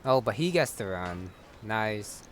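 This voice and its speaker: A man's voice: lowish voice, animated, takes it slowly, a little hiss or hum. A man giving casual gameplay commentary, musing in a dry, offhand way. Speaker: gamer commentator. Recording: game music behind.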